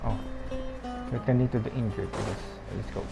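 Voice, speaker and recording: Woman, casual game commentary, fragmentary, gravelly fry, music in background. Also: extremely low pitch, fairly even intonation, brisk. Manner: hesitant, fragmentary, repeats herself. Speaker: gamer, video creator.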